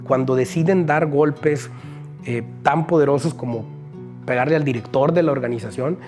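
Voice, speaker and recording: A Mexican man speaks in a mid-range voice over clearly audible guitar music. He explains in an unscripted, measured interview style, laying out his account point by point and tagging it with short questioning checks. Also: mid-range voice. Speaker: news organization director giving testimony.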